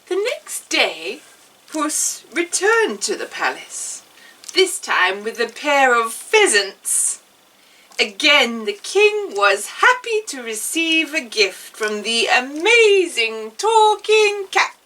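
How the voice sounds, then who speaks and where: Animated, slow-paced woman storyteller. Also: high voice, far from the mic. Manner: theatrical, measured. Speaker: storyteller playing an amusing witch. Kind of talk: scripted children's fairy tale narration.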